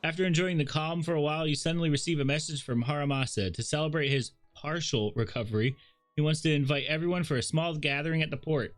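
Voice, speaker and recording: A man's voice: on the high side, steady tone, fast, soft background music. A man livestreaming a game, commenting casually and reactively and reading out the narration in an informal way.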